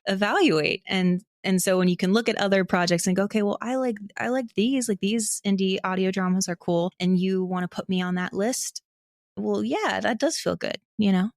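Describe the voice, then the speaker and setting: A woman's voice: mid-range voice, normal speed, no room sound, studio quality. A woman talking casually and reflectively as an interview guest, candid and self-deprecating as she thinks aloud. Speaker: podcast guest, audio drama creator and actor.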